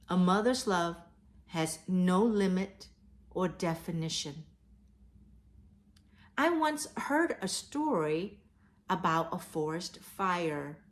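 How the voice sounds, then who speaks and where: A woman reading and talking to children as a storyteller, with deliberate, emphatic phrasing. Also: lowish voice. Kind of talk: children's read-aloud storytelling. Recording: echoey, roomy sound.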